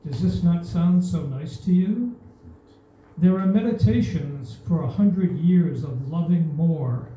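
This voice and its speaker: An older woman reading a rhyming poem aloud at an open mic, in a slow, measured recital. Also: on the low side, mellow. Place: small stage in an indoor venue. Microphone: stand mic, filmed from a far camera. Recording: echoey room from far off.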